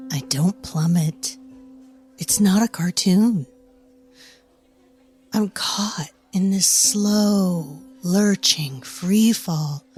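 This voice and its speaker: Brooding female storyteller, lowish pitch, breathy, dramatic audio drama narration, unhurried. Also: darkish tone. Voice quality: breathy, husky, near whisper at times. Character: brooding, haunted female storyteller of dark fantasy. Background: music.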